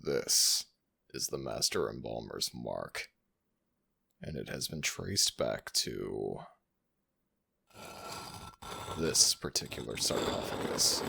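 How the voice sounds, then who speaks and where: A man voicing an ancient male jackal god who is proud and teasing, in a husky voice with slow, formal speech, for an ASMR audio roleplay. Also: very deep voice, bright, forceful tone, full character voice. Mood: puzzled. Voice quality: gravelly, husky. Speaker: voice actor.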